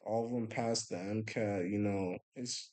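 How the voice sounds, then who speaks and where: A man's voice: lowish voice, steady tone, measured pace, a little mellow. A young man telling a rambling story in a laid-back, casual way, filling it with "bro" and "you know" and often trailing off into a mumble. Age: young adult. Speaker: vlogger.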